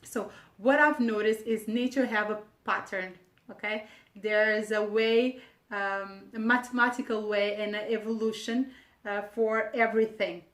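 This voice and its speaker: A woman from Portugal with a Portuguese accent gives an informal explanatory wellness talk to camera, haltingly, repeating words for emphasis. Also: mid-range voice, steady tone, a bit bright. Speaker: wellness vlogger. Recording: echoey room.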